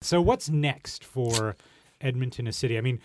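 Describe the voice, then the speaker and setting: A man's voice: medium pitch, normal speed, darkish tone, slight background noise. A man hosting a podcast in a casual, chatty style. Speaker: podcast host. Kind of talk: casual chat.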